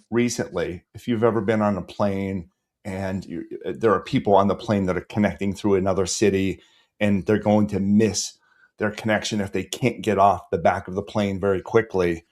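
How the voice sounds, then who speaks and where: A man hosting a podcast interview, earnest and emphatic, who coaches volleyball. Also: low-pitched. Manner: earnest, builds to loud emphatic storytelling. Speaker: podcast host. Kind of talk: conversational interview.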